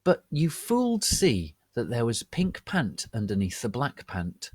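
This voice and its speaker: Slow, animated, low-pitched male narrator. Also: husky, no room sound, clean studio sound. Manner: theatrical, deliberate. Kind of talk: dramatic reading of fan fiction.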